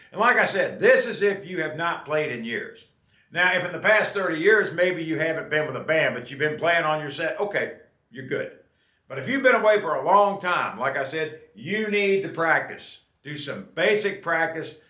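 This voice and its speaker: A man's voice: on the high side, sharp tone, echoey room. A man in his late fifties giving casual, folksy advice to viewers, often checking in with "okay?". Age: 50s. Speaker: drummer and channel host.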